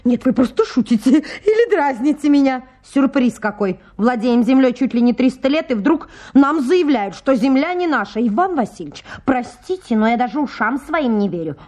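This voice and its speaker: A high female voice as a headstrong landowner's daughter in a radio comedy. She is emphatic, quarrelsome and theatrical, never conceding a point. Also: big pitch swings, normal speed, hardly any pauses, dark tone. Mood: stubborn, offended. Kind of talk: Russian radio comedy acting.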